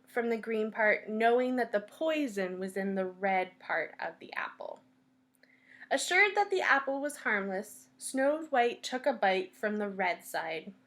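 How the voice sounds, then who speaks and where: Slow, animated woman reading a fairytale. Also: medium pitch. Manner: deliberate phrasing with frequent pauses. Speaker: storyteller at a library. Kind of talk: children's fairytale read-aloud.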